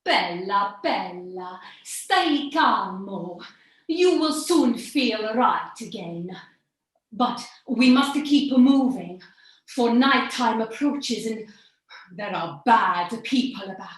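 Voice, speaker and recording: A woman playing a calm, courteous gondolier in a pantomime, with a put-on Italian accent. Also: mid-range voice, slow. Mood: soothing, warning. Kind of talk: theatrical pantomime acting. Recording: very echoey, distant video-call audio.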